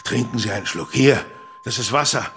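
An actor playing a helpful, practical man in a children's radio drama, brisk and matter-of-fact. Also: medium pitch, animated, fairly bright tone, soft background music. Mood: concerned, helpful.

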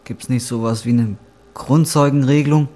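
A man's voice: medium pitch, fairly even intonation, normal speed, warm tone, close to an everyday voice. An actor in his twenties playing a desperate young man burdened by guilt, blunt and brooding, in a naturalistic radio drama. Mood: anxious, pleading. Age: 20s. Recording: clearly noisy ambience with vehicle sounds.

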